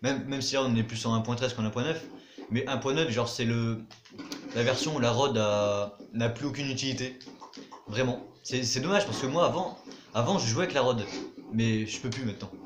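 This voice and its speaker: A man's voice: mid-range voice, normal speed, roomy sound, background noise. A young man giving casual commentary over his own gameplay, chatty, muttering to himself and swearing now and then. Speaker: gaming YouTuber.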